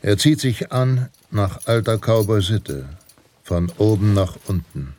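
Low, solemn western narrator. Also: male voice, expressive, a little mellow. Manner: solemn, portentous, deliberate. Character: solemn western storyteller, grave and heroic. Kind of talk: dramatic scripted western narration. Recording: guitar music behind. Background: plucked guitar music.

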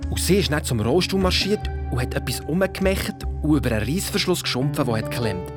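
A performer playing an excited boy detective with a Swiss German accent, telling a story colloquially and dramatically in a kids' radio play. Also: medium pitch, a little fast, music in the background, natural voice. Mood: tense, excited. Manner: breathless storytelling, colloquial, dramatic sound imitations. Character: excited boy detective recounting his sneaky adventure.

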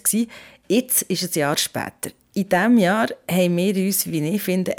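Woman podcast host, pretty low voice, casual chatty talk.

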